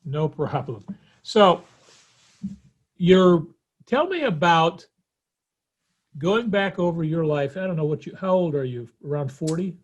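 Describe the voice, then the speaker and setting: Male podcast interviewer, early 70s, pitched a little high, conversational delivery. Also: animated, unhurried, pauses often. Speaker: podcast host.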